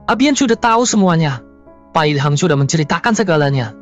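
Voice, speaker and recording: An earnest young policeman played by a woman speaks in a voice slightly low for a woman, over music. The delivery is urgent, imploring and melodramatic, as in a horror cartoon. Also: expressive, fairly quick. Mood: earnest, pleading. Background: background music.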